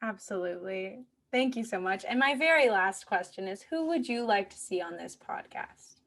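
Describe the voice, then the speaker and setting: Podcast host lady, medium pitch, casual and encouraging interview chat. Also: lively intonation, normal speed, fairly bright tone. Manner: supportive, encouraging listener.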